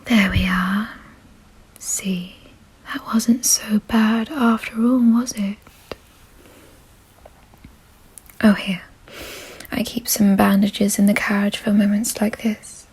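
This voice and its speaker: A woman playing a kind, doting aristocratic vampire in an ASMR roleplay, in a soft, breathy whisper with a gentle, genteel, reassuring manner. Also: medium pitch, steady tone, takes it slowly. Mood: calm, reassuring.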